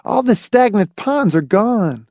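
A man's voice: high-pitched, expressive, slow pace, a little mellow, dry recording, studio quality, voice pushed up, heavily acted. A man voicing an eager, stubborn young boy in an audiobook, with a complaining, vigorous and belligerent manner. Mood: resentful complaint. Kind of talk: audiobook character voice.

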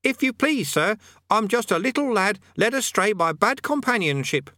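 A man's voice: sits high, expressive, normal speed, sharp tone, no echo, studio quality, pitched above the performer's own voice. A man voicing a pert, whiny teenage boy who sounds like a know-it-all. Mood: falsely polite. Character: cheeky teenage boy demon summoner.

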